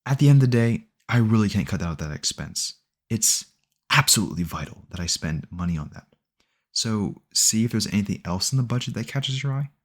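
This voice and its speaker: A man's voice: fairly low pitch, lively intonation, brisk. A man playing a sweetly possessive, obsessive boyfriend in an ASMR audio roleplay, rambling earnestly and intimately to the listener. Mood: earnest, self-justifying. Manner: doting, rambling, earnest self-justifying, close and conversational.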